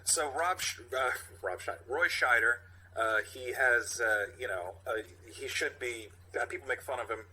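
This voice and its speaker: A man co-hosting a comedy podcast, riffing loosely and haltingly as he reads out material. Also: mid-range voice, bright, forceful tone, slightly rough, low-quality recording. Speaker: podcast co-host. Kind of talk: loose comedic podcast banter and script reading.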